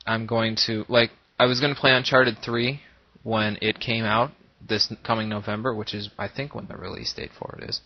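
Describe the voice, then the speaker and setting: Man, low-pitched, slightly nasal with a cold, earnest casual monologue, explanatory, dull lo-fi recording. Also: steady tone, moderate pace. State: coming down with a cold. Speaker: YouTube Let's Play creator. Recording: boxy echoing room, dull lo-fi microphone.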